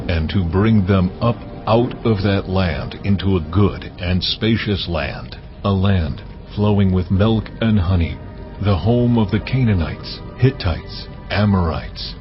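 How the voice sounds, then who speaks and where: An actor playing God as a solemn, commanding divine voice, proclaiming slowly and with weight. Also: male voice, low voice. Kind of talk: dramatized scripture reading. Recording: muffled, over loud cinematic music.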